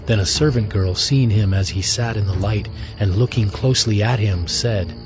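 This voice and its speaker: A man narrates a scripture drama in a lowish voice. His scripted reading is set over clear background music. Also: moderate pace, rough recording.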